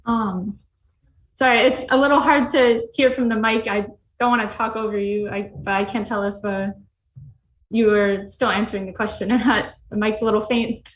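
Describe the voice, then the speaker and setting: A woman's voice: medium pitch, fairly even intonation, moderate pace. A woman interviewing a panel in a casual, polite and appreciative way. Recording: roomy echo, faint background noise.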